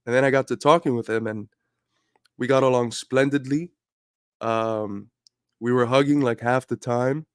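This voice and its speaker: A man's voice: medium pitch, steady tone, moderate pace, pauses often, clean tone, no room sound, clean studio sound. A man hosting a livestream, talking earnestly and building to emphatic points while feeling under the weather. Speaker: livestream host. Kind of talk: casual livestream talk.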